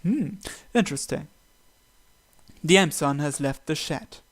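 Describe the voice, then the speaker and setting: A man's voice: on the high side, animated, slow. A man reading a visual novel aloud as a gaming host, acting out the text and adding his own asides. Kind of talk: dramatic read-aloud with asides. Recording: music behind the voice. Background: game music.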